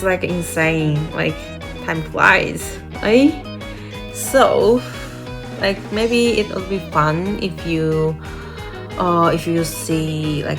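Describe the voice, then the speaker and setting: Japanese-accented woman with a gravelly voice. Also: lowish voice. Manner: talkative, uses many "like" fillers. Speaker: vlogger and nursing student. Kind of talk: casual chat to camera. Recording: guitar music playing behind.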